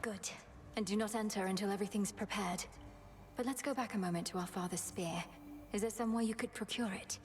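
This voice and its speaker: A woman playing an earnest, resolute young witch princess in a video game, speaking in a formal, measured manner. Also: medium pitch, slight background noise, lightly acted. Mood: serious, questioning. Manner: formal, measured, archaic phrasing. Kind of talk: video game drama acting.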